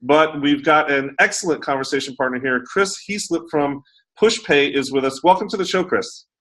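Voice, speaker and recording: A man's voice: a little high, moderate pace, a bit bright. A man hosting a podcast interview in a casual, chatty way, with many ums and uhs. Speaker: podcast host.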